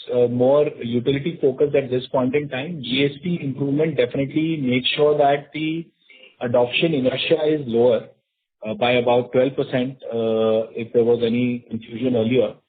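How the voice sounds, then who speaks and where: A man with an Indian accent answering as a company executive on an earnings call, measured and halting, pausing often between phrases. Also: mid-range voice. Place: conference call. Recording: narrow, tinny conference phone line.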